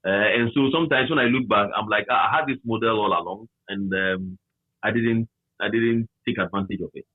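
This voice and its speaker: A Ghanaian man reminiscing conversationally as an interviewee, with a husky voice and a habit of repeating phrases for emphasis, over a tinny line. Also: lowish voice, fairly quick, dry recording. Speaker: interviewee, former campus radio manager.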